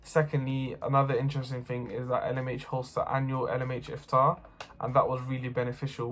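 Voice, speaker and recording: Young man, student, steady monotone testimony, faint music under it. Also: medium pitch. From North London. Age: young adult. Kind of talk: personal testimony to camera.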